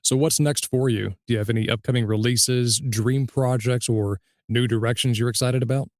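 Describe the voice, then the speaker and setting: A man's voice: mid-range voice, fairly even intonation, normal speed, a little mellow, no room sound, studio quality. A man hosting a casual interview, chatting and putting questions to his guest. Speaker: interview host.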